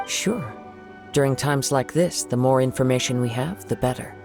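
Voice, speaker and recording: An actor playing a calm, gracious, humble young wandering swordsman in a video game, speaking with composed formality. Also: mid-range voice, moderate pace, natural voice. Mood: calm, reasoned. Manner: composed, formal, soft-spoken courtesy. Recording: faint music behind the voice.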